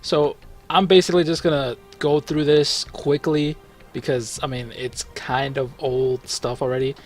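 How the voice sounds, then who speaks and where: A man's voice: fairly high pitch, little pitch movement, unhurried, soft background music. A man giving casual, rambling commentary over a mobile game run, full of fillers and self-corrections as he walks viewers through it. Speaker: gaming video host.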